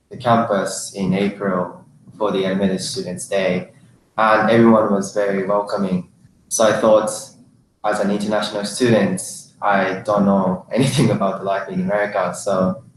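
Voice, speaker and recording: A young man from Japan, a senior student speaking carefully with pauses on a panel. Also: lowish voice, distant mic. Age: young adult. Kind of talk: casual panel chat. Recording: very echoey room with faint hiss.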